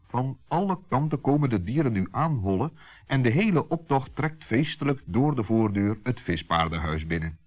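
A man's voice: medium pitch, sing-song, normal speed. A man narrating a children's radio play in a scripted storytelling style. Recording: muffled vintage 1960s radio recording.

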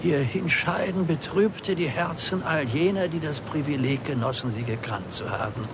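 An actor playing a mild, evasive, dignified undertaker of about sixty in a radio play, speaking with formal, unctuous funeral-parlour politeness. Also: male voice, a little high, normal speed, noisy background, natural voice. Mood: solemn, unctuous.

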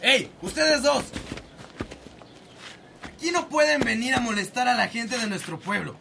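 A man's voice: very high voice, lively intonation, measured pace, creaky, noisy background, exaggerated voice. A man playing a bossy, self-important small-town secretary in a radio drama, blustering and comic. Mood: threatening, pompous. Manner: pompous, blustering. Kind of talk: Spanish-language radio drama acting.

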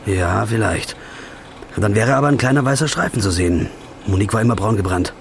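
A man's voice: on the low side, expressive, a little fast, creaky, a little hiss or hum. An actor playing a haggard, obsessive man searching for the truth, speaking tersely and narrating in a brooding voice-over. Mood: determined. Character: haggard male detective, hungover and obsessive. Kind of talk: film-style drama with voice-over narration.

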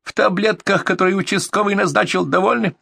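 A woman's voice: medium pitch, steady tone, a little fast, vocal fry, a bit bright, dry recording, studio quality, pitched above the performer's own voice. A man voicing a furious, abusive woman caller with asthma who screams down the phone at an ambulance dispatcher. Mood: grudging.

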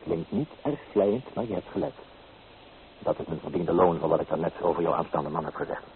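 An actor playing a teasing, free-spirited young painter in an old Dutch radio play, with theatrical delivery. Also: male voice, low-pitched, fairly quick, close to an everyday voice. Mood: apologetic, gallant. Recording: muffled, noisy old radio recording.

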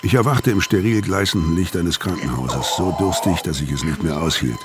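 Deep low male voice, actor as bitter battered ex-hitman, terse grim crime radio drama narration, prominent music behind. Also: brisk, lightly acted. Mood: weary, matter-of-fact.

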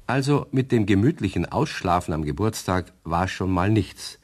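A man narrating a children's radio play as storyteller, measured and expressive. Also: low voice, breathy edge.

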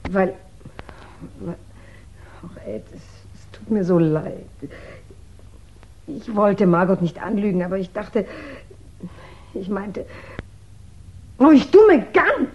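An actress playing a flustered, remorseful woman who pleads haltingly in a 1960s radio drama. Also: medium pitch, animated, warm tone. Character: distraught, apologetic woman friend, flustered and remorseful. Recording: dull 1960s radio recording.

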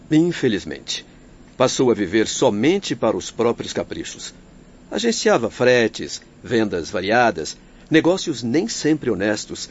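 A man with a Brazilian accent playing a grave, compassionate doctor who solemnly recounts a life in radio drama. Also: mid-range voice. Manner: solemn, measured explanation. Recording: dull lo-fi, faint background noise.

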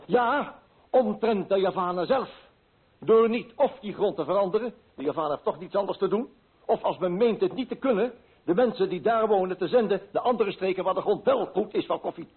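An actor playing a pompous, self-righteous coffee broker in a radio play, fussy and indignant in manner. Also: male voice, sits high, breathy edge. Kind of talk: Dutch radio play acting. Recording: muffled old radio recording.

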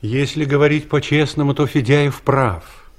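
An actor playing a meek, self-doubting ageing investigator in an audio play, with a mild, resigned manner. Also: male voice, mid-range voice, moderate pace, close to an everyday voice. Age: older. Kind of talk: Soviet audio play acting.

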